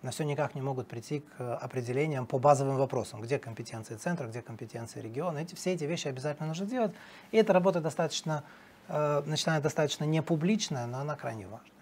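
A man's voice: fairly high pitch, fast, darkish tone, a little hiss or hum. A middle-aged man, a political analyst guest in a TV studio interview, talking emphatically with fillers and restarts, on a lapel mic.

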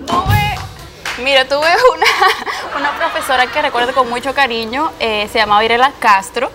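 A woman's voice: high-pitched, normal speed, music in the background. A young woman from Cuba with a neutral Latin American Spanish accent, chatting casually as a guest in a TV studio. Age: young adult. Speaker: singer, interview guest. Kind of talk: casual chat. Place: indoor TV studio.

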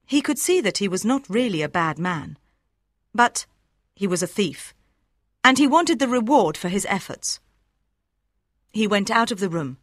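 A woman reading scripted story narration for learners in a measured, clearly enunciated way. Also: medium pitch, sing-song, no room sound, clean studio sound. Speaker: audiobook narrator.

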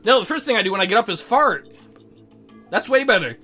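A woman's voice: medium pitch, expressive, brisk, fairly bright tone, faint music behind. A woman doing casual, goofy game commentary as a let's play host, joking crudely and rambling.

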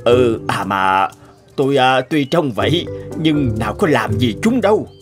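A man's voice: mid-range voice, lively intonation, unhurried, close to an everyday voice. A man playing a stern, self-important old scientist in a cartoon, brusque and pompous. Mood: defensive. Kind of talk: animated cartoon dialogue. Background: music.

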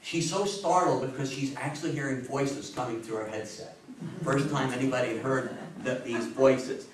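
Echoey, distant older man giving a lecture. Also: a little high. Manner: emphatic, deliberate storyteller with rhetorical questions. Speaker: guest lecturer on history. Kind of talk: public talk to an audience. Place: indoor meeting room with seated audience. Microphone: distant camera microphone. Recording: echoey room, distant mic, faint noise.

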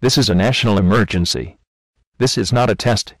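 A man's voice: fairly low pitch, a little fast, very noisy. A man reading a scripted emergency alert as a broadcast announcer.

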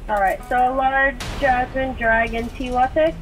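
Distant high-voiced woman taking orders. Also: steady tone, a little slow, a little hiss or hum. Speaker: drive-thru order taker. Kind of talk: customer service. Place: drive-thru speaker, heard from a car. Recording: distant intercom, loud music.

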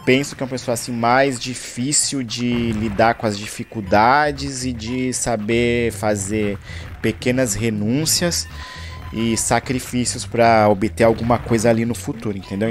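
A man's voice: mid-range voice, normal speed, faint music behind. A man talking casually over his gameplay footage, rambling and searching for words, from Brazil. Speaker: gaming channel host.

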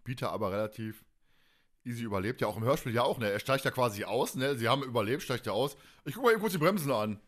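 Man, mid-pitched voice, podcast co-host, casual chat, colloquial storytelling. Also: lively intonation, fast talker, a bit bright.

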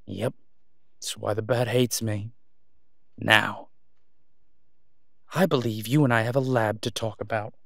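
A man's voice: on the low side, steady tone, a little slow, creaky, pauses often, fairly bright tone, no echo. A man playing a brash, sarcastic masked vigilante with dry, taunting banter in an audio roleplay. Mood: taunting, confident.

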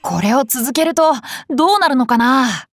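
A woman's voice: high voice, lively intonation, moderate pace, fairly bright tone, no echo, soft background music, heavily acted. A woman voicing a cheerful, curious boy king for an anime game, boyish and polite, with playful self-assurance. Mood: pleased, bright. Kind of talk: Japanese anime game character lines.

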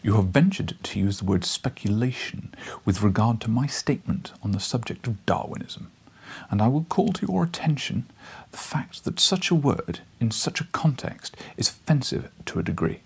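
A man voicing a pompous, scornful, overbearing professor with a haughty, deliberate, biting delivery, as in an audiobook. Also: medium pitch, lively intonation, gravelly, breathy edge, full character voice.

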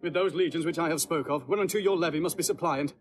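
An actor playing a formal Roman senator, proclaiming orders in a declamatory Shakespearean style. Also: male voice, on the high side, quick pace, close to an everyday voice. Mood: official. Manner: declamatory, official. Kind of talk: theatrical Shakespearean acting. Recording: outdoor crowd noise behind.

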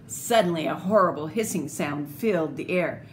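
An older woman reading a children's picture book aloud in an expressive, deliberate, theatrical way, with little asides. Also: low-pitched. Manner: slow, deliberate, theatrical phrasing. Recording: clearly noisy home video recording.

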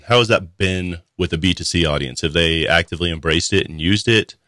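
A man hosting a podcast, asking relaxed, rambling questions of his guest. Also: low-pitched, normal speed, no room sound. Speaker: podcast host. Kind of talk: casual interview chat. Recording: dry close sound.

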